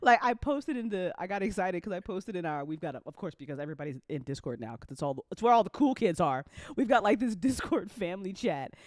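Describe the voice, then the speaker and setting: A woman hosting a casual after-show chat, telling stories in an animated way with acted-out quotes and casual swearing. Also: on the low side, fast, few pauses, no room sound, studio quality. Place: online group voice call.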